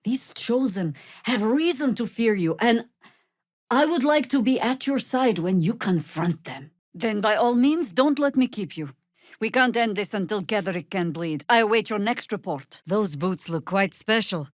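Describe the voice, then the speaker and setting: An actress playing a seasoned, sardonic older female druid warrior in a fantasy video game, wry, commanding and deliberate. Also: medium pitch, animated, no echo, studio quality, natural voice. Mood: stern, dry.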